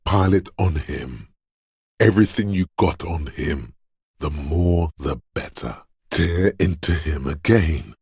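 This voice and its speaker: An actor voicing a video game character, a paranoid, aggressive inner voice of fight-or-flight instinct, speaking in an ominous, raspy, urging way. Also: male voice, animated, darkish tone, dry recording, clean studio sound, full character voice. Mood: menacing, brooding. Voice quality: very deep, gravelly, raspy. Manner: slow, deliberate, ominous urging.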